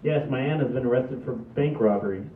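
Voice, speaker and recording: A man's voice: medium pitch, fairly quick, narrow, tinny audio, slight background noise, natural voice. A man playing a polite, level-headed, put-upon family man in a comedy table read. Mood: polite, resigned. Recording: distant, echoey, phone-thin recording.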